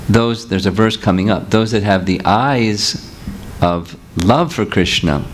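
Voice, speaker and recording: A man's voice: on the low side, unhurried, slight background noise. A man giving a seminar talk as a spiritual teacher, explaining patiently with examples and answering questions. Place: seminar room with audience.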